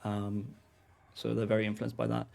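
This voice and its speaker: A man's voice: lowish voice, monotone, moderate pace, creaky, a little mellow. A man with a Swedish accent, a teacher and game streamer chatting casually, rambling and hesitant with many ums and restarts.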